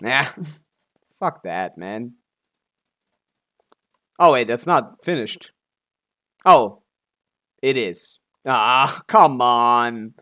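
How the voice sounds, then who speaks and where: A man's voice: mid-range voice, expressive, extremely slow, long pauses, pushed and bright, smooth. A man giving casual, offhand live commentary as a game streamer, muttering to himself and swearing freely.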